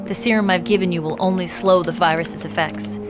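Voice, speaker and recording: An actress playing a calm female agent and tech expert who speaks matter-of-factly. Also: fairly low pitch, brisk, natural voice. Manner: matter-of-fact. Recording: game cutscene audio with loud music.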